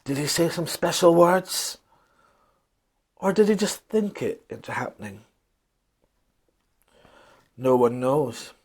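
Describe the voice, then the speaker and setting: Guy reading kids a bedtime story, super expressive, slow w big dramatic pauses, kinda husky voice. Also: a little high. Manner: dramatic pauses, emphatic repetition, questions to listeners. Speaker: children's storyteller. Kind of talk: animated bedtime story narration for children.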